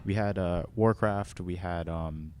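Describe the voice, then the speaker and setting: A man co-hosting a casual podcast, speaking haltingly and repeating words as he starts. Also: low voice, darkish tone. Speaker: podcast co-host. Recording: noticeable background room noise.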